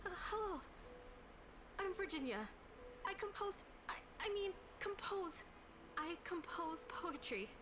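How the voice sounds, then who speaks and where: A bashful young female poet from a fantasy game. Her high voice is timid and hesitant, set over clear background noise. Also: lively intonation, unhurried, long pauses. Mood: nervous, flustered. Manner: timid, hesitant, soft-mannered. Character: shy, nervous young female poet and scribe. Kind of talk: scripted video game voice acting.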